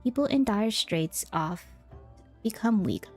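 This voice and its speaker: A woman's voice: medium pitch, animated, takes it slowly. A woman game streamer giving casual playthrough commentary and reading game text aloud. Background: game music.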